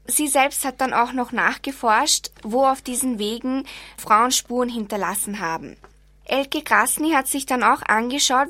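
A teenage schoolgirl reading a prepared report for a radio show in a steady, informative way. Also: fairly high pitch. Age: teens. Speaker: student.

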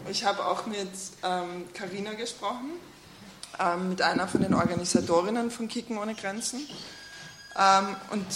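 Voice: medium pitch, a bit bright. Echoey interviewer, hesitant. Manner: hesitant, many fillers. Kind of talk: radio interview. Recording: echoey room, faint noise.

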